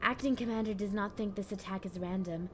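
A woman's voice: mid-range voice, fairly quick, a little hiss or hum, natural voice. A woman playing a dutiful naval officer giving clipped, urgent military reports in a fantasy drama. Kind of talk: fan-made fantasy game drama acting.

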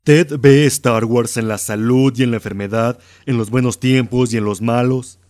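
A man's voice: mid-range voice, steady tone, normal speed, darkish tone, natural voice. A man with a Latin American accent playing an earnest, meddling, dramatic best friend in a comedy fan dub. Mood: solemn. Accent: Latin American Spanish.

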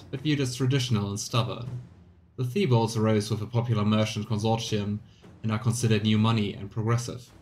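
Low-voiced man musing over a game. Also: darkish tone. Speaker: game streamer. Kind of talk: let's play commentary, reading game text aloud. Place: small room indoors at home. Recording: clear background noise, game ambience.

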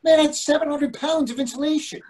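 A man's voice: very high voice, fairly even intonation, brisk, darkish tone. A man in his sixties acting in a comic readers theatre play as a dry, commanding management consultant, over a video call. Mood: dry, challenging. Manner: dry, authoritative. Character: self-assured older management consultant, dryly commanding husband. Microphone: computer microphone on video call.

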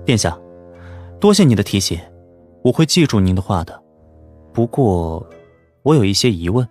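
An actor playing a polite young nobleman in an audiobook drama, deferential and deliberate but wary and questioning. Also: fairly low pitch, big pitch swings, darkish tone, soft background music.

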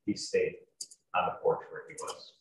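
A young man testifying as a police officer in court, formal, terse and matter-of-fact in procedural phrasing. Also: normal speed. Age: young adult. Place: courtroom, heard over a video call. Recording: distant, echoey, faintly noisy courtroom video call.

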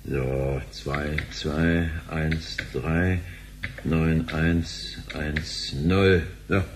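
A stiff banker in a sci-fi radio play. His voice is low and slow, formal and stern, with a faint hum behind it. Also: fairly even intonation, heavily acted. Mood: deliberate. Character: stiff, humourless male banker. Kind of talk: German sci-fi radio drama acting.

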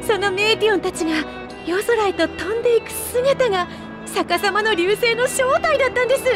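A woman playing an eager, chatty young researcher in a Japanese video game, earnest, polite and expressive. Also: very high-pitched, brisk. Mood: excited storytelling. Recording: studio voice over loud music.

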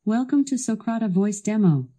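A woman's voice assistant delivering scripted product demo narration in a polished, instructional, clearly enunciated way. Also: mid-range voice, animated, normal speed, warm tone.

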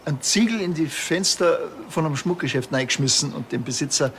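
Sardonic policeman, gravelly, laconic, slightly high pitch, TV crime comedy. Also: fairly quick, a little hiss or hum. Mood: dry, matter-of-fact. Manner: deadpan, laconic. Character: dry, sardonic Bavarian policeman, middle-aged man.

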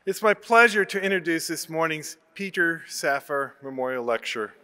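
A man in his sixties giving a formal, measured scripted introduction of a lecturer at a podium microphone. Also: fairly high pitch, animated, sharp tone, clear, resonant tone. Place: large auditorium stage. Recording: long hall reverb from PA.

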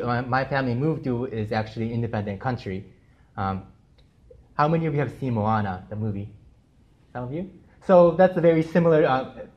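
A young man from Japan, a Japanese speaker at heart, talking to a class in a relaxed, friendly way with plenty of "um" and "you know". His voice is of middling pitch and comes from a camera across the lecture room, so it sounds a little dull. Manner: friendly, chatty, many fillers. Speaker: artist giving a gallery lecture. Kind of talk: casual presentation to students. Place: university lecture room with projector screen.

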